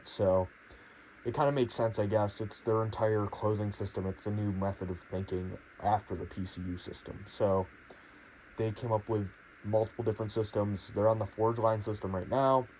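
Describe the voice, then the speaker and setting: A young man, his voice a little hoarse from a cold, talks through a piece of gear in an easy, meandering way. He sits slightly low in pitch and hedges often, with plenty of fillers. A faint background hum runs underneath. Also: a little mellow, poor audio. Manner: rambling, hedging, many fillers. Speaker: gear reviewer. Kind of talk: casual product review.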